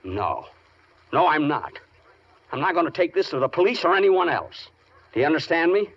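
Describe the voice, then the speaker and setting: An American actor playing a mild, nervous, henpecked piano tuner in 1940s radio drama, hesitant and plaintive. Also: male voice, on the high side, big pitch swings, moderate pace. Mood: defiant. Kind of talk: 1940s radio drama acting with first-person narration. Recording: dull vintage radio recording, music behind.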